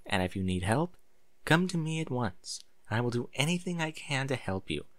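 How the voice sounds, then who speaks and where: A man voicing a motherly female pony teacher, gentle yet firm, with a soothing, measured and earnest manner. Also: medium pitch, very animated, no echo. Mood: gentle, encouraging.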